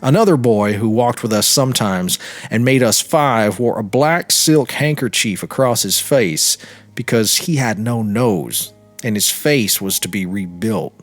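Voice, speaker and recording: A man narrating a literary short story in a measured, dramatic reading with deliberate pauses. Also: on the low side, lively intonation, no echo. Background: music.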